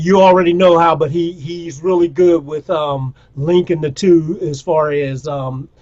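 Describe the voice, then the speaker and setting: A man's voice: a little high, darkish tone, lo-fi sound. A man hosting a casual video call chat, laid-back and encouraging, calling his guest "man" and "bro". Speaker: host.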